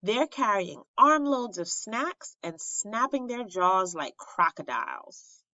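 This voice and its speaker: A woman reads a children's story aloud expressively, with dramatic pauses and emphasis on key phrases. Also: mid-range voice, sing-song, pushed and bright. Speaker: storybook reader at an elementary school. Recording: roomy, slightly dull lo-fi recording.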